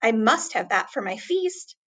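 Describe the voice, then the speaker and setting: A woman's voice: fairly high pitch, sing-song, a little slow, a bit bright, pitched below the performer's own voice, exaggerated voice. A woman voicing an eager, greedy little mouse in a children's story, with delighted exclaiming.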